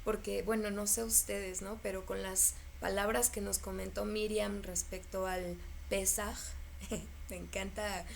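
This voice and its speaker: A woman's voice: medium pitch, little pitch movement, unhurried, vocal fry, a little hiss or hum. A woman hosting a conversation, speaking reflectively and repeating words as she gathers her thoughts. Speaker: host.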